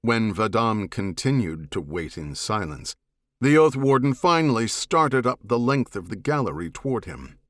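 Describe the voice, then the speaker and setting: Man, lowish voice, scripted audiobook narration, serious, measured, dramatic, dry close sound. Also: very animated, a bit bright, dry recording. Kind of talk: scripted narration of science fiction novel.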